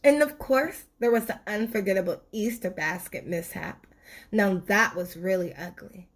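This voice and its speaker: A woman narrating a children's storybook expressively, with deliberate, dramatic phrasing. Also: mid-range voice. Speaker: storybook reader.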